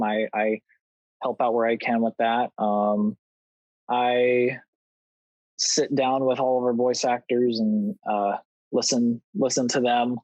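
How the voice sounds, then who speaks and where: A man's voice: medium pitch, darkish tone, no room sound, highs cut off. Hesitant man, dry close sound. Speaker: podcast guest, production helper. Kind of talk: casual chat.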